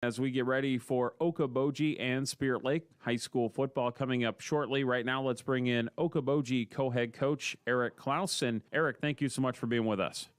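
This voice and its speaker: An American man hosting a pregame sports radio show, interviewing in a conversational way with halting questions. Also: medium pitch, steady tone, clean tone, no room sound, studio quality.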